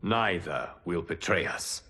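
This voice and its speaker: An actor playing a calm, wise godly guardian in a video game, speaking with solemn formality. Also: male voice, low-pitched, slow, heavy background noise. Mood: calm, reassuring. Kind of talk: video game cutscene acting.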